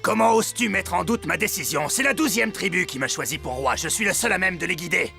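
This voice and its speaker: An actor playing a jealous, wrathful, imperious king in a French animated Bible drama, with a rough, gravelly voice. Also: sits high, quick pace, full character voice. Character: jealous, wrathful, brooding middle-aged king. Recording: music under the voice. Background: music.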